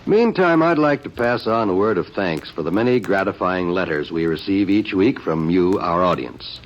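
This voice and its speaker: A male radio announcer reads a measured, courteous message in a fairly low voice. The recording is dull and muffled, like an old radio broadcast. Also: lively intonation, a little hiss or hum. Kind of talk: formal scripted announcement.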